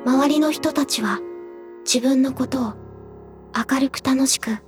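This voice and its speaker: High sweet teen girl in an audio drama, soft n careful, music under it. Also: a little slow. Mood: confessional, uneasy. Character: delicate teenage girl, sweet and quietly intense. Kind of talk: Japanese audio drama acting.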